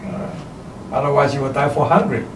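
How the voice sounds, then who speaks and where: A man in his seventies from Taiwan with a Taiwanese accent, a kung fu teacher giving opinionated interview answers in halting, emphatic non-native English with repeated short phrases. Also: medium pitch. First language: Chinese. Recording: roomy, distant mic, faint background noise.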